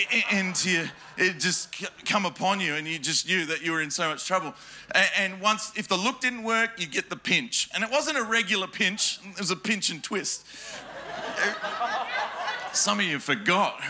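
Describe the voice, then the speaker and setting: An Australian man preaching to a church congregation. His voice sits high and rings very bright. He throws questions at the crowd and mixes jokes into his stories. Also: normal speed, a little hiss or hum. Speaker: pastor. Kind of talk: sermon with personal stories. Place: church hall before a congregation.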